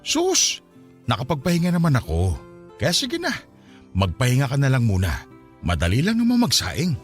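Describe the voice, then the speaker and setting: A man's voice: medium pitch, huge pitch swings, normal speed, soft background music. A man playing a cheerful, caring working-class husband in a radio drama, easygoing and reassuring. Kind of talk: Filipino radio drama acting.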